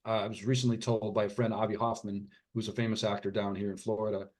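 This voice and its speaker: A man's voice: on the low side, level tone, fast, darkish tone. Middle-aged guy, author on a podcast, rambly and earnest, lots of uh's. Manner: earnest, rambling, many fillers and restarts. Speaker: author and physician guest. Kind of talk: podcast interview, casual storytelling.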